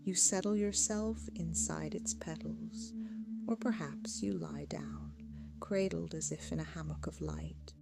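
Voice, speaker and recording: A woman leads a guided meditation in a lowish voice with a pronounced creak. Her delivery is measured, soothing and hypnotic, softening into a hush as phrases end. Music plays clearly behind her. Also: sing-song.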